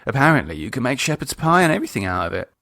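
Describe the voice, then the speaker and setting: A man's voice: mid-range voice, sing-song, racing, fairly bright tone, no room sound, clean studio sound. A man voicing an exasperated middle-aged British wife and mother in an audiobook, colloquial, grumbling and sardonic, with a flirty streak.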